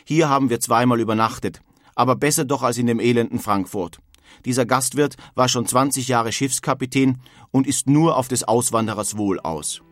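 A man's voice: mid-range voice, normal speed, fairly bright tone, no echo, close to an everyday voice. An actor playing a plain-spoken male emigrant reading his letter in a radio documentary. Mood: matter-of-fact, relieved.

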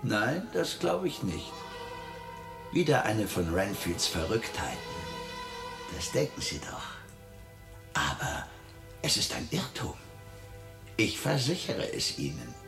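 An actor playing an obsessive, courteous asylum patient who pleads formally and insistently in a radio horror drama. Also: male voice, fairly low pitch, sing-song, slow, vocal fry, pauses often, exaggerated voice. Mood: insistent, secretive. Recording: roomy echo, faint music behind.

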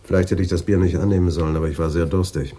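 Husky, weary aging police detective. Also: male voice, deep voice, steady tone, rapid-fire, mellow. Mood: regretful, official. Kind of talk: radio crime drama acting.

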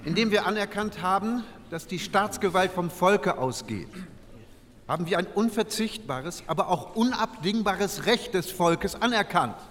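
An earnest male delegate addressing an assembly in a radio play. The high voice declaims solemnly and formally, ringing in a large reverberant hall. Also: lively intonation, a little slow, a bit bright, slight background noise. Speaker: actor in a documentary radio play. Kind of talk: dramatised parliamentary speech.

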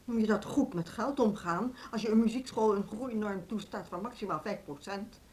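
An actress playing a beleaguered, earnest music school head in a radio play, speaking articulately. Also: female voice, fairly low pitch, fairly quick, a little mellow, lightly acted. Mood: indignant. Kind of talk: Dutch radio play acting.

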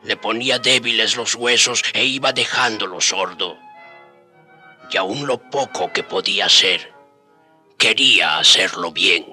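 An actor playing a solemn storyteller, narrating a historical radio drama in a measured way. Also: male voice, on the low side, sharp tone, soft background music.

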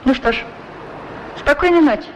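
A woman's voice: high voice, normal speed, dull audio, natural voice. Woman acting a lonely, caring woman, noisy recording. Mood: wistful, caring. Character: lonely, solicitous woman with unreturned affection. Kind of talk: 1960s radio play acting. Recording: noisy vintage radio recording.

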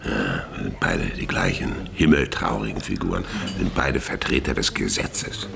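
An actor playing a gruff, weary older police inspector in a radio crime drama, speaking laconically with a gravelly voice. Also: male voice, rumbling low, expressive, moderate pace, background noise, exaggerated voice. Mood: weary, grumbling.

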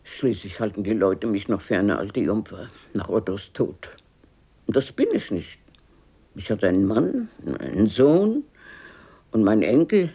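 Raspy, blunt old granny. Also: female voice, very deep voice, unhurried, dull audio, heavily acted. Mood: feisty, grumbling. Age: older. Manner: blunt, lively, muttering and exclaiming. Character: plucky, brusque, warm-hearted old grandmother talking to herself. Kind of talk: radio play acting.

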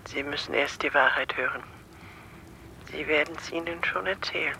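A performer playing a cryptic, foreboding woman caller like a seer, deliberate and ominous, in a radio drama. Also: mid-range voice, bright, forceful tone, a little hiss or hum, rough recording. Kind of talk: naturalistic German radio drama acting.

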